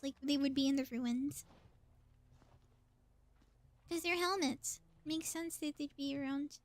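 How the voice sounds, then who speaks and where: A woman doing casual live game commentary as a streamer, chatty and thinking aloud as she plays. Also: sits high.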